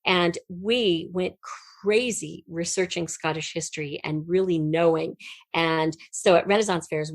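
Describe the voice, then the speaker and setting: A woman's voice: low voice, dry recording. A middle-aged American woman chatting casually as an interview guest, telling personal stories and acting out what people said. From United States. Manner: chatty, animated retelling with acted-out quotes. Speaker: podcast guest, writer and performer.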